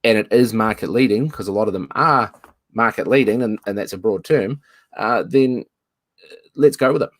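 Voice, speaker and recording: A man's voice: on the low side, moderate pace, no echo. A man from New Zealand, a guest in a casual video-call chat, thoughtful and given to restarting phrases.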